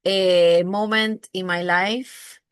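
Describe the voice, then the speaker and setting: A woman's voice: fairly low pitch, steady tone, slow, smooth, no echo, studio quality. A woman from Argentina with an Argentine Spanish accent, a brand and visibility mentor giving a live masterclass. She talks effusively, swears casually and keeps checking in with tag questions. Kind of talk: live masterclass talk to camera.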